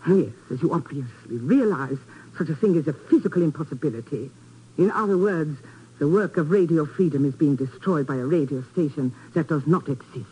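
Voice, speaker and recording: An actress playing an earnest foreign radio station official, speaking formally and precisely in 1950s radio drama style. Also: female voice, sits low, expressive, brisk, mellow, breathy edge, full character voice. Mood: grave, insistent. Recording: muffled old 1950s radio recording.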